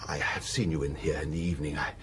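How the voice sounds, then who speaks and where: Very deep, wheezy, courteous suspect. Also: male voice, level tone, slight background noise. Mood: halting, anxious. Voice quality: breathy, short of breath. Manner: halting, courteous. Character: polite, weary, asthmatic male murder suspect confessing. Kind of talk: audio drama acting.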